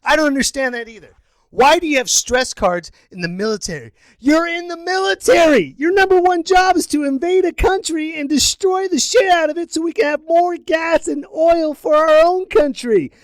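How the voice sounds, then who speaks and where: A man hosting a podcast, ranting loudly and bursting into sudden yells. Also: very high voice, normal speed, dry recording. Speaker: podcast host. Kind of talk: opinion rant.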